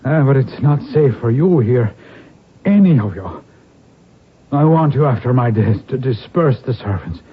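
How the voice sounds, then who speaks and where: A dying Irish lord, muffled recording. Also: male voice, mid-range voice, lively intonation, breathy edge. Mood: grave, pleading. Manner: weary, halting, urgent deathbed delivery. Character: dying Irish lord, wounded rebel, commanding and fatherly. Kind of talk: old-time radio drama acting. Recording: muffled, narrow-band vintage radio recording.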